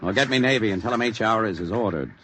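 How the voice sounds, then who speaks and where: An actor playing a brusque, irritable army general in a 1950s radio drama. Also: male voice, on the low side, animated, quick pace, fairly bright tone. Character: gruff, impatient army general, middle-aged man. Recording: noisy vintage radio recording.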